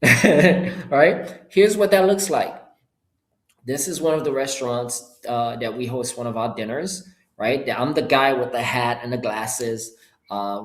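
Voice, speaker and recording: A middle-aged man from Haiti, a Creole speaker, gives an informal, upbeat motivational webinar pitch in short punchy phrases. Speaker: entrepreneur hosting an online presentation. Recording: roomy, echoing sound.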